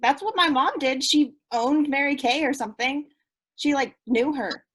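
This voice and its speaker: Woman playing an awkward, high-voiced young slacker. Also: normal speed, a bit bright. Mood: flippant. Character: awkward, flippant young person, placating and naive. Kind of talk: staged play reading.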